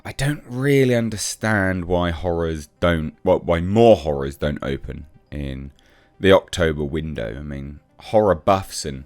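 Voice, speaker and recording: Very deep-voiced man, casual film reviewer. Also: unhurried, few pauses, darkish tone, dry recording, soft background music. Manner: blunt, sceptical, swears casually. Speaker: film reviewer, channel host. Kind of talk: casual off-the-cuff commentary on film news.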